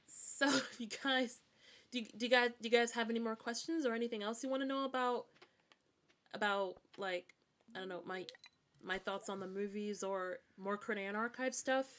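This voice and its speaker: A woman's voice: medium pitch, a bit bright. A woman from Scotland with a mixed American and British Scottish accent, chatting casually on a gaming livestream in a rambling, hesitant way that often circles back to repeat phrases. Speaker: streamer.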